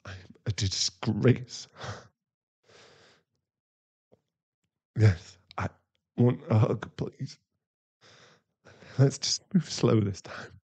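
A man acting a remorseful, tender werewolf boyfriend in an intimate ASMR roleplay, halting and emotional, often breathy. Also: lowish voice, a little mellow, heavily acted. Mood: ashamed, tender. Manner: halting, emotional, pleading, with sighs and breathy drops into whispers.

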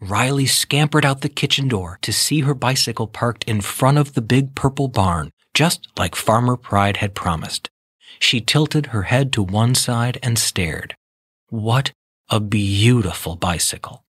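A man narrates a children's read-along story. His voice is husky and recorded close and dry, with expressive, measured storytelling. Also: medium pitch, dry recording.

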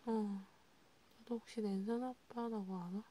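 A woman speaks in a hushed ASMR whisper, as if counseling one listener. Her voice is soft and dark, and she goes along haltingly, in casual conversation. A faint noise sits behind her. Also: a little high, steady tone, rough recording. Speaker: ASMR creator playing a counselor. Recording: noticeable background hiss.

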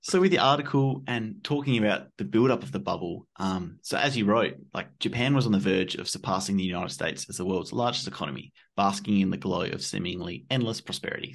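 An Australian-accented man in his twenties hosts a podcast. His voice sits a little low, and he asks his questions in a friendly, easygoing way with casual slang. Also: gravelly. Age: 20s. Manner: friendly, laid-back, slangy. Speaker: podcast host. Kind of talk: casual interview.

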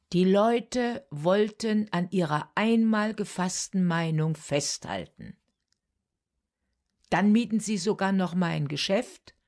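A slow-spoken, sorrowful village confectioner woman. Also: medium pitch, darkish tone. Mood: troubled, earnest. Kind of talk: dramatic monologue in a German radio play.

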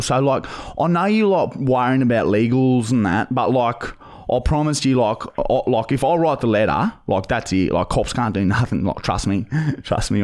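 A man playing a dodgy, pushy Australian bogan on a prank phone call, talking in halting, repetitive slang. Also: lowish voice, darkish tone, lightly acted. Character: dodgy, pushy young male bogan pestering for painkillers. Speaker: prank caller, podcast host. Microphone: close studio mic on boom arm.

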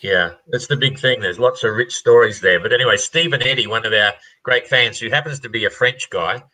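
Older male host, forceful bright tone, casual video-call chat. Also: mid-range voice, a little fast, low-quality recording. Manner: chatty, repeats words, laughs easily. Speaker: race organizer interviewing an entrant. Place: home room indoors.

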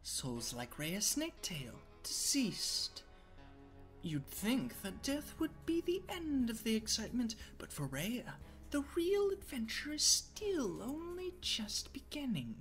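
A wry female storyteller acted by a woman narrates slowly and dramatically. Her pitch swings widely over faint music.

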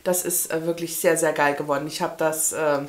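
A woman's voice: deep voice, steady tone, a little fast. A woman in her thirties chatting casually and informally to camera as a book vlogger. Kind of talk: casual chat to camera about books. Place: small indoor room with bookshelves.

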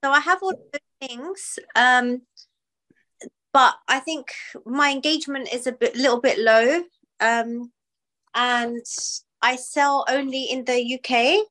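A woman's voice: sits high, fairly even intonation, slow, bright, forceful tone, poor audio. A woman in her thirties from Pakistan who runs a small business, talking casually on a video call from her living room, with lots of "you know" and "um". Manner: chatty, with frequent "you know" and "um". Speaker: small business owner, client.

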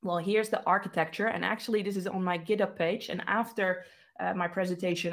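A woman in her thirties with a Dutch accent, walking through a technical demo over a webcam from a small room. Her talk is casual and loose, full of fillers and restarts. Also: on the low side. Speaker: developer advocate presenter. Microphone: webcam video call.

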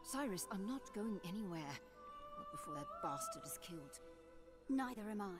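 A woman's voice: mid-range voice, big pitch swings, normal speed, close to an everyday voice. A woman playing a loyal, determined female fighter in a fantasy video game, speaking with urgency. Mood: defiant. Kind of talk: fully voiced fantasy role-playing game acting. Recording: game music behind the voice. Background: game music.

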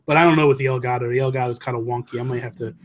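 A man's voice: medium pitch, steady tone, racing. An American man in his thirties co-hosting a casual gaming chat, speaking emphatically and repeating phrases for stress. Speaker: podcast co-host. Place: small home room, indoors. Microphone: desk microphone close up, headset.

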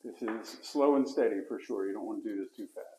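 A man's voice: lowish voice, fairly quick, a little mellow, low-quality recording. Man mutters through an epoxy floor job. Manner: talks to himself mid-task, drops to mutters. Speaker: flooring installer demonstrating. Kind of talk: casual how-to instruction while working. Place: basement, indoors.

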